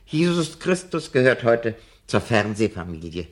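A man's voice: medium pitch, very animated, measured pace. An actor playing a disillusioned elderly bookish man in a German radio drama, speaking in a theatrical, ruminative and sardonic way. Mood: sardonic, declaiming. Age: older.

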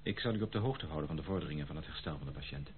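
A formal household man in a radio play. He talks fast in a very deep voice. The recording is narrow and old. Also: expressive. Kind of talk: radio drama acting. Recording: muffled old radio play, faint hiss.

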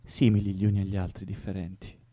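A man's voice: low voice, flat delivery, quick pace, warm tone, no room sound. An actor playing an anguished young leftist man in a naturalistic radio drama, pleading and playful, repeating himself and drifting into whispers. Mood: tender, reciting. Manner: volatile, repetitive, drifting into whispers.

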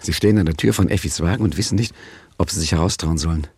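Husky, low-voiced gangster boss. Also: male voice, fast talker, a little mellow, no echo, full character voice. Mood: hushed, patient. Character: shrewd gangster boss, cool and commanding. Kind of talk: radio drama acting, retro gangster satire.

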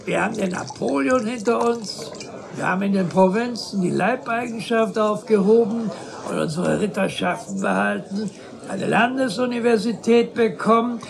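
An actor playing a pompous, chatty provincial gentleman in a German radio drama, effusive and rhetorical in manner. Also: high voice, creaky, background noise. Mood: self-satisfied, probing. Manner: effusive, rhetorical, with drawn-out pauses.

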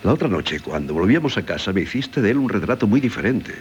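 Deep-voiced, troubled husband. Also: male voice, very animated, quick pace, lo-fi sound. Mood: patient, reasoning. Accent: Castilian Spanish. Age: middle-aged. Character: troubled middle-aged husband, patient, reasoning, wounded. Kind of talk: 1970s Spanish radio drama acting. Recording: hissy 1970s radio recording.

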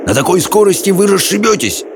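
A man's voice: sits high, big pitch swings, slow pace, gravelly, background noise, voice pushed up, exaggerated voice. A man voicing a hot-tempered, commanding wizard in an audiobook, roaring his words with dramatic, imperious emphasis. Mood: furious bellowing.

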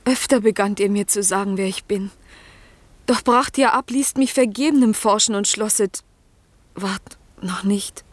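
An actress in a German radio play, playing a magician's tender young daughter with a breathy, earnest delivery. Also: female voice, mid-range voice, measured pace, no echo, natural voice.

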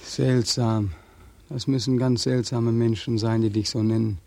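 An actor playing a bitter, despairing young war veteran in a 1940s German radio drama, anguished, sardonic and accusing. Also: male voice, medium pitch, steady tone, unhurried, creaky, mellow, no echo. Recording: dry 1940s radio studio recording.

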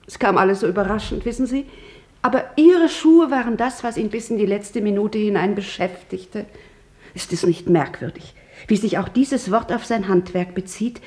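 An actress playing a shoemaker's widow in a 1950s radio play, rambling and musing but oddly practical. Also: female voice, mid-range voice, moderate pace, a little mellow, natural voice. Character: chatty, grieving widow of a shoemaker. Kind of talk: 1950s radio drama acting.

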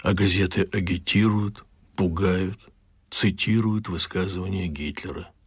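A man voicing an elderly historian's diary in a radio drama, reading in a grave, slow manner. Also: deep voice, steady tone, breathy edge, no echo, studio quality, heavily acted.

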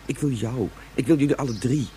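A man playing an exasperated, anxious father and professor in a radio drama, indignant and halting with emotion. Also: mid-range voice, warm tone, slight background noise, close to an everyday voice. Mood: anxious, pleading. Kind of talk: Dutch radio drama acting.

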